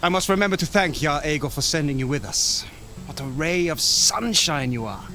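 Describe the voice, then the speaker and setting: Young viking chief in a game, forceful highish voice, lively, wry and commanding. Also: male voice, moderate pace, faint music behind. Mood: exasperated, wry. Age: young adult. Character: young Viking settlement leader, practical, wry.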